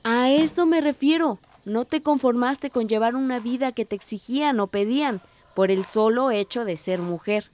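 A woman's voice: on the high side, normal speed, darkish tone, lightly acted. A woman playing a curious, admiring, outspoken young village niece in a radio drama, eager and questioning.

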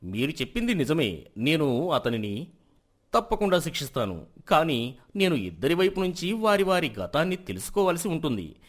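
A man voicing a stern but fair village elder who judges disputes in a moral story, measured and authoritative. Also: a little high, very animated. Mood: calm, firm. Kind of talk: dramatised moral story acting.

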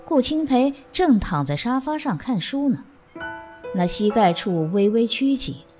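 Brief: female narrator, calm storytelling, lively pitch, soft music bed. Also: unhurried. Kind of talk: scripted narration.

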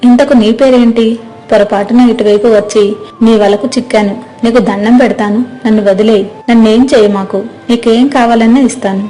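Pleading young mermaid, mellow and high voiced by a woman. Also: steady tone, a little fast, close to an everyday voice, rough recording. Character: pleading young mermaid bargaining for her freedom. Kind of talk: animated moral story voice acting.

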